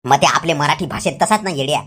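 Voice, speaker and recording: A man's voice: fairly high pitch, fast, heavy background noise, natural voice. A man voicing a swaggering, vengeful village tough guy in a comic cartoon, boastful and drawling out his catchphrases. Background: loud background music.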